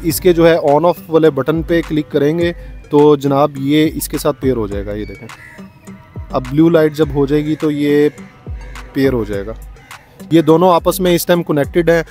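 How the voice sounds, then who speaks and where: A man's voice: on the high side, a little mellow. A man, a vlogger demonstrating a product step by step in a tutorial style.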